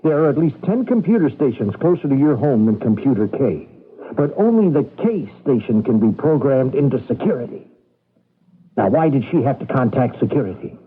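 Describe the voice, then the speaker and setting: Casting: commanding underground leader, husky, swooping delivery, probing and suspicious, on an old radio recording. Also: male voice, medium pitch, big pitch swings, a little fast. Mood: accusing. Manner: probing, blunt, interrogating. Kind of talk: old-time radio drama acting. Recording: dull, band-limited 1980s radio recording.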